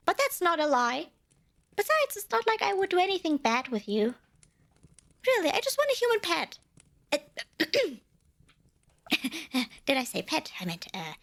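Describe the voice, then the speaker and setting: Female performer for tiny eager demoness, high and very animated, a cuddle-hungry saleswoman for an ASMR roleplay. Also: creaky, exaggerated voice. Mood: insistent, defensive, then flustered, coaxing. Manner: playful wheedling salesmanship with flustered self-corrections. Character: cute little female demon, centuries old, eager, cuddle-craving. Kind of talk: scripted ASMR fantasy roleplay addressed to the listener.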